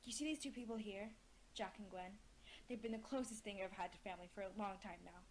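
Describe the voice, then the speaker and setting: A woman's voice: medium pitch, fairly quick, close to an everyday voice. A woman playing the wistful, lonely daughter of a time-travelling hero, speaking earnestly and reflectively over some background noise. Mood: pleading, defiant.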